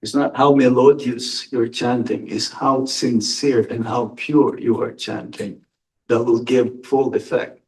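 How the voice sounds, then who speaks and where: A man, a monk, answering audience questions in a spiritual lecture, speaking deliberately and with emphasis. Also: medium pitch, fairly even intonation, darkish tone, distant mic. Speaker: monk giving a spiritual talk.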